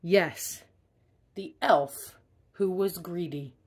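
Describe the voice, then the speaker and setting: Unhurried woman reading a picture book. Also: on the low side, husky. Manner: expressive, emphatic on key words. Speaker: storybook reader. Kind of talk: expressive children's picture-book read-aloud.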